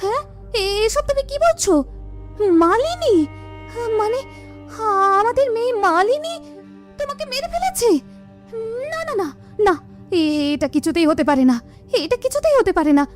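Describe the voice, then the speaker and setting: A woman's voice: extremely high pitch, sing-song, normal speed, long pauses, music in the background. A woman voicing a distraught middle-aged mother who pleads and scolds in a cartoon. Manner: pleading, tearful.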